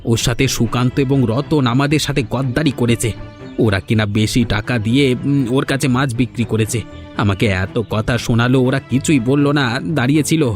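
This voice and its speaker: A man's voice: medium pitch, normal speed, faint music behind, natural voice. A man playing a village boss's tattling henchman in a cartoon folk tale, sounding officious and aggrieved. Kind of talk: Bengali animated folk-tale dialogue.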